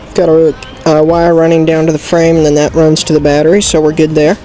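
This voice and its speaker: A man's voice: on the high side, monotone, fairly quick, warm tone, slight background noise, poor audio. A man hosting a DIY video, explaining things methodically, step by step, in an instructional style. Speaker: host of a DIY how-to channel. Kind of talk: instructional how-to commentary.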